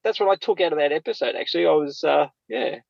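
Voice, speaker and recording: A man's voice: fairly high pitch, quick pace, creaky, no room sound. A man co-hosting a podcast in casual chat, rambling hesitantly and circling back over his words, on a slightly dull recording. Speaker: podcast co-host.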